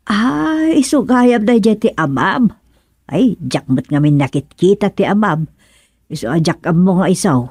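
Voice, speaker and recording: A woman's voice: mid-range voice, very animated, a little slow, a little mellow, slightly rough, no room sound, clean studio sound, heavily acted. A woman acting in a radio drama comedy as an inquisitive, nagging village woman with an Ilocano accent. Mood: concerned, prying.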